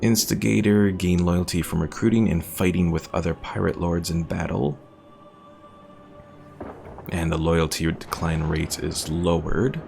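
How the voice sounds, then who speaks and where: A man's voice: sits low, measured pace, gravelly. A man giving casual live commentary as a game streamer, chatty and thinking aloud. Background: game music.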